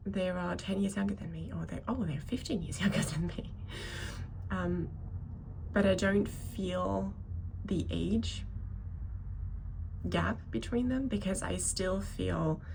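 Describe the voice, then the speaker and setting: A woman's voice: medium pitch, takes it slowly, gravelly, a little mellow, slight background noise, low-quality recording. A woman in her thirties giving an unscripted personal vlog monologue, speaking hesitantly and often circling back to correct herself. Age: 30s. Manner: hesitant, rambling, self-correcting. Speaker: video creator talking to viewers.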